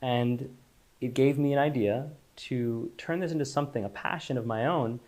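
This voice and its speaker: A man's voice: medium pitch, moderate pace, darkish tone. A man born in Israel and raised in Los Angeles, a company founder chatting as an interview guest in a loose, searching way with frequent restarts. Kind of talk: casual interview chat.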